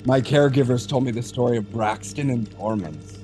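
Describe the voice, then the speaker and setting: An actor playing an experienced, thoughtful male alien data center technician in an audio drama, explaining things in a measured way. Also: mid-range voice, music in the background, natural voice. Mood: reflective.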